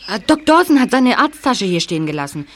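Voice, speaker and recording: A woman's voice: a little high, big pitch swings, fairly quick, a bit bright, a little hiss or hum. A woman voicing a curious teenage boy detective in a radio play, asking and amazed. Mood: caring. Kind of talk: amateur radio play acting.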